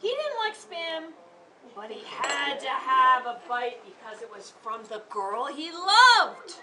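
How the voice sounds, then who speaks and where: High, animated woman storyteller, distant mic. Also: a crowd in the background. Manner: theatrical, interactive, drawn-out emphasis. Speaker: author reading her story aloud to a live audience. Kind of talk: animated live storytelling with questions to listeners. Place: live reading before an audience. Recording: distant mic with audience noise.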